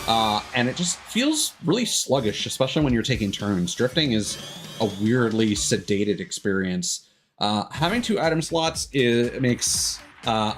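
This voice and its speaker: A man doing casual, rambling and opinionated gameplay commentary as a live streamer. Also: medium pitch. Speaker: video game live streamer. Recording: loud music behind the voice.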